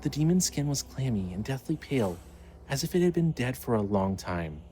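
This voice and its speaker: A man's voice: medium pitch, very animated, moderate pace, dark tone, slight background noise. A man narrating a scripted horror story as the storyteller of an audio drama.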